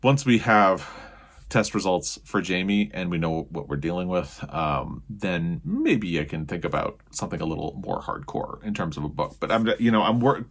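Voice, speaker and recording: Low-voiced man chatting about books. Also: lively intonation, normal speed, slightly rough. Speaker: book reviewer and video host. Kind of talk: casual chatty book review to camera.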